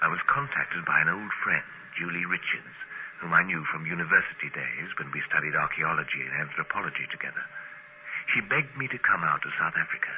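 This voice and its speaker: An actor playing a serious archaeologist, narrating a strange adventure in a measured, dramatic old-time radio style. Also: male voice, low-pitched. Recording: muffled, noisy vintage radio recording.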